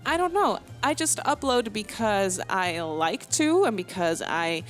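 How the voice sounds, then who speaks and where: A young woman with a light Dutch accent rambles along in a relaxed home voiceover, laughing softly at herself. She talks in a mid-pitched voice, full of ums and restarts. Faint music plays under her. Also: a little slow. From Netherlands. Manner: chatty, giggly, self-deprecating, with many fillers and restarts. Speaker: Sims speed build vlogger. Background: soft background music.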